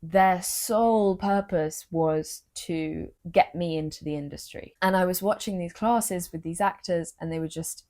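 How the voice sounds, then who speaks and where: A young woman, vlogging earnestly into her phone with a low-energy voice, confiding and emotional. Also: unhurried, few pauses, warm tone. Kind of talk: personal vlog talking to camera.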